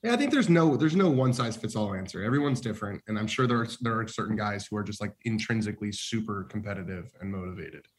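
Low-voiced man rambling on a panel. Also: expressive, fast talker, hardly any pauses. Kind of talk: casual panel discussion.

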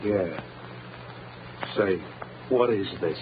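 A man's voice: low-pitched, extremely slow. A man acting a jittery, cornered small-time crook with slangy tough talk in an old radio drama. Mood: wary, defiant. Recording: noisy, muffled old-time radio recording.

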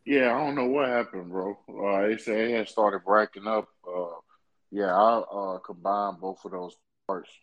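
A man hosting a podcast asks casual interview questions haltingly, with lots of "uh" fillers, and calls his guest bro. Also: on the low side, fairly even intonation, highs cut off. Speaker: podcast host.

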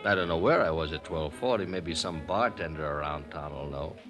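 An actor playing a tipsy, world-weary middle-aged radio producer who rambles theatrically and laughs in a 1950s radio drama. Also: male voice, rumbling low, a little fast, a bit bright, soft background music. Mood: confiding.

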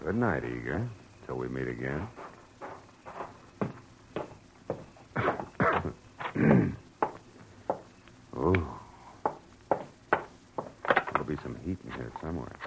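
An actor playing a tough private detective in a 1940s radio drama, dry and wisecracking. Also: male voice, very deep voice, expressive, measured pace, gravelly, heavily acted. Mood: wry, guarded. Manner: dry, hard-boiled, wisecracking. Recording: noisy old radio broadcast recording.

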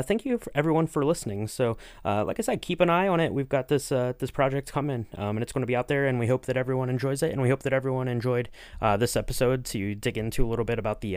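A man hosting a podcast in casual chat, talking in a halting, rambling way. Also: medium pitch, a little mellow, dry recording, studio quality. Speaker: podcast host and interviewer.

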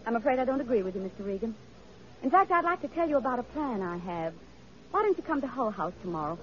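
A woman's voice: on the high side, big pitch swings, fast talker. An actress in an old-time radio drama playing an earnest, determined woman reformer with a genteel, emotive, pleading manner. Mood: polite, composed. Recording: dull vintage radio recording, noisy, crowd behind.

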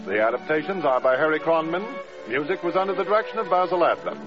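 A man's voice: a little high, animated, fast. An American man announcing a 1950s radio program in a scripted, showy period style. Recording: muffled old radio broadcast recording. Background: music.

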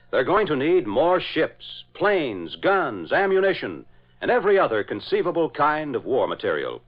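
A man announcing and narrating in the dramatic, declamatory style of a 1940s radio serial. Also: medium pitch. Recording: dull vintage radio recording.

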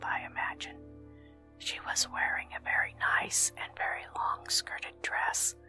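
A woman reading a story aloud in a soft, unvoiced ASMR whisper, slow and measured throughout. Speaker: narrator.

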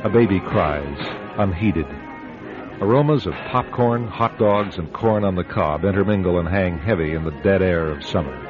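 A man acting as a solemn storyteller, giving deliberate, dramatic scripted narration for a radio drama. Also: sits low, close to an everyday voice. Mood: solemn, atmospheric. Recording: muffled old radio recording. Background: prominent music.

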